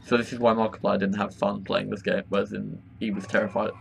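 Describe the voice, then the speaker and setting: A man's voice: on the low side, little pitch movement, fast, vocal fry, a little hiss or hum. A young man giving casual, sweary, reactive commentary as he plays a game with someone in a room at home. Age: young adult. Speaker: co-player in a gaming video. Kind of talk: casual let's-play commentary.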